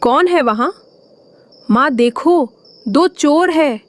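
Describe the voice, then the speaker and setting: A woman's voice: high-pitched, expressive, slow pace, no room sound. A woman voicing an innocent little boy bird in a Hindi cartoon. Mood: alarmed.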